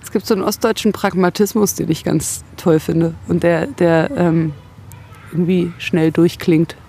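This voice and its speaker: A woman's voice: lowish voice, fairly even intonation, normal speed, gravelly, dark tone, breathy edge. A woman from West Berlin speaking as an interview guest, reflective and opinionated, often searching for words in casual conversation. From West Berlin, Germany. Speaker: interview guest, singer-songwriter.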